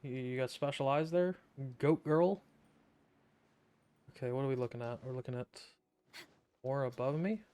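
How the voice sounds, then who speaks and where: A man giving casual, sarcastic live commentary as he plays a game, with a deadpan manner. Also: mid-range voice, moderate pace, long pauses, smooth. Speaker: game streamer. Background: game music.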